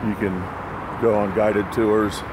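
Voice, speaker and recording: A man giving casual, rambling, low-key vlog narration on a phone while out walking. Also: level tone, slow. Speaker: vlogger. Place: outdoors on a sidewalk beside a busy road. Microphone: handheld phone. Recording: outdoor phone recording with traffic noise. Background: passing cars, street ambience.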